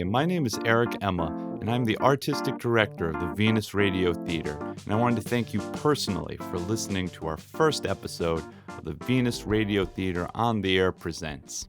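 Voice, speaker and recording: Low-voiced man, earnest and dry-recorded. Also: no echo. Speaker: theater artistic director and podcast host. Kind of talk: personal message to listeners.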